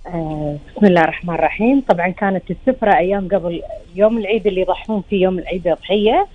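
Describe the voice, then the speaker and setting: A woman's voice: lowish voice, very fast, no echo, phone-quality sound, faint music behind. A woman with a Gulf Arabic accent and a slightly tired, strained voice, chatting as a guest chef and explaining things with rhetorical questions. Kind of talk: chatty interview reminiscence.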